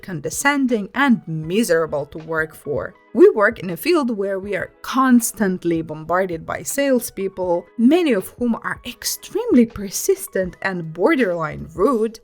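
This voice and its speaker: A woman narrates written stories in an expressive, emphatic way. Her pitch sits in a middle range for a woman and moves up and down in a very animated way. Music plays behind her voice. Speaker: narrator reading online stories aloud. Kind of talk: scripted storytelling narration. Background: background music.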